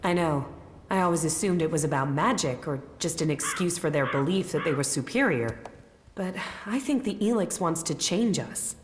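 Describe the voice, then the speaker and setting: An actress playing an earnest, thoughtful mage uneasy about her powers in a video game, speaking in a measured way. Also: low-pitched, lively intonation, slight background noise. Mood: pensive. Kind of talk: video game cutscene acting. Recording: echoey reverberant space, music behind.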